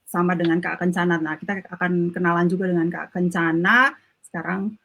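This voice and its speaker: A woman's voice: on the low side, fairly even intonation, brisk, gravelly, fairly bright tone. Chatty woman hosting an online chat. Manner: friendly, chatty, laughs easily. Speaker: host. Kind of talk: online group chat, mixing in English.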